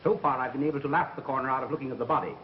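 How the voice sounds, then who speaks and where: A man's voice: mid-range voice, steady tone, racing, muffled recording, slight background noise, natural voice. A man acting a hard-nosed, level-headed associate of a boss, blunt and urgent, in a 1930s film style on an old soundtrack. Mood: stern warning.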